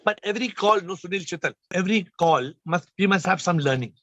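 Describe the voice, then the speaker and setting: A man hosting a spiritual video call, talking with a slightly low, subdued voice, emphatic and repeating phrases as he questions listeners. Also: quick pace, fairly bright tone, dry recording. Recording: muffled video call audio.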